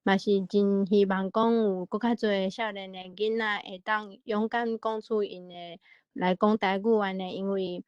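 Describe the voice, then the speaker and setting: Muffled dry mic, taiwanese lady chatting from the heart, halting, emotional. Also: medium pitch, steady tone, darkish tone, clean tone, dry recording. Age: young adult to middle-aged parent. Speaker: audience participant. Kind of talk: casual heartfelt comment in an online meeting. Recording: dry, dull online meeting audio.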